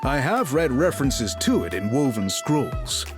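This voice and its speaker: Learned, expressive game chieftain. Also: male voice, mid-range voice, unhurried, over music. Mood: thoughtful, explanatory. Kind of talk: video game character acting.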